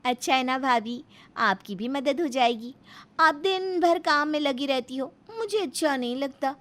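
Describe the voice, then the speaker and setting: A woman's voice: sits high, a little slow, fairly bright tone, pitched above the performer's own voice. A woman voicing an eager, scheming young daughter-in-law with a wheedling, sweet-talking manner in a moral story. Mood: pleased.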